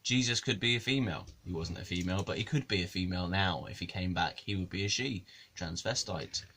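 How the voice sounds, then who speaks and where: A man's voice: deep voice, brisk, sharp tone, a little hiss or hum. Rambling jokey man. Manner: jokey, digressive, swears, laughs at his own jokes. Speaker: musician and video maker. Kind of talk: rambling comic monologue to camera.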